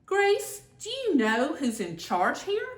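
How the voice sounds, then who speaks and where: Brisk elementary teacher in a storybook, played by a woman, emphatic, high and lilting, roomy. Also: huge pitch swings, exaggerated voice. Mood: prompting, correcting. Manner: instructive, emphatic storybook dialogue. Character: brisk, patient female elementary school teacher. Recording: echoey room.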